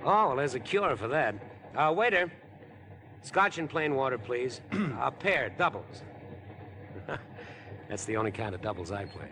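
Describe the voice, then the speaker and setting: An actor playing an overeager, ingratiating stranger with an obsessive streak, chatty and pushy in 1950s radio drama style. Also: male voice, big pitch swings, unhurried, long pauses, pushed and bright. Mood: pushy, fawning. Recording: 1950s radio broadcast, train rumble behind.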